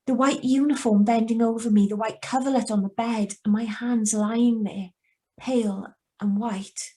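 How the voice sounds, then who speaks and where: High female voice, woman acting a troubled young heroine, hesitant, brooding, radio drama, video call reading. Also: steady tone, moderate pace, warm tone, close to an everyday voice. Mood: brooding, reflective. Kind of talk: radio drama acting with first-person narration. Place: remote, on a Zoom video call.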